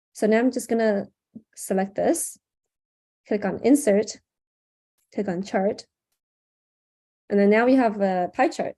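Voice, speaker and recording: A young woman teaching a live online workshop over a video call, chatty with lots of ums, her throat sore and often cleared. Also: medium pitch, dark tone. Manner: casual, chatty, frequent "um" and "like". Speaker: workshop instructor. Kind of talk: live online workshop screen-share tutorial.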